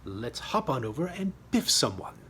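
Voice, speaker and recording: A man's voice: medium pitch, very animated, moderate pace, fairly bright tone, a little hiss or hum. A man voicing a jaunty British First World War pilot from a storybook, breezy and full of casual bravado. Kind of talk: storybook character voice.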